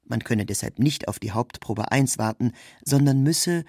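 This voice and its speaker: A man's voice: mid-range voice, normal speed, darkish tone, no room sound, studio quality. A man reading a scripted literary narration in a sober, factual manner.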